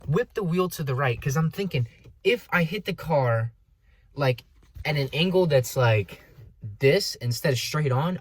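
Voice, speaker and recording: A young American man telling a personal story casually, with dramatic emphasis and sarcastic asides. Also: medium pitch. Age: young adult. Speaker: vlogger. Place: inside a car.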